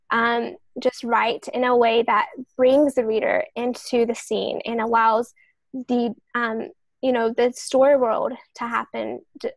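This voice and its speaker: Higher-pitched woman explaining on a podcast. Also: gravelly, no room sound, rough recording. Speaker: fiction author and podcast panelist. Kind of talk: podcast discussion, explaining writing tips.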